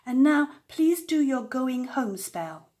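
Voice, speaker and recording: A woman voicing a bold, eager little witch girl in an expressive, earnest storybook read-aloud. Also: sits high, voice pushed up, heavily acted. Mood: eager, polite. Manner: deliberate, expressive storybook delivery.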